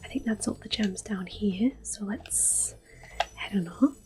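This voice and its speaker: A woman hosting soft-spoken ASMR gameplay commentary, whispering gently as she plays. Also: mid-range voice, measured pace. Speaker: ASMR gaming host. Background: game music.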